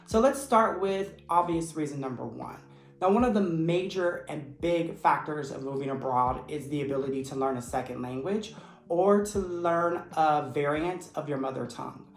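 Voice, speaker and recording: A man who teaches English, giving a steady, earnest explanation in vlog style. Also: on the high side, normal speed. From United States. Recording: echoey room with faint music. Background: faint background music.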